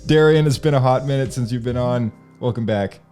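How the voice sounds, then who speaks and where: A man's voice: mid-range voice, brisk, warm tone, faint music behind. A man hosting a casual podcast chat, with a hesitant, meandering way of talking. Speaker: podcast host.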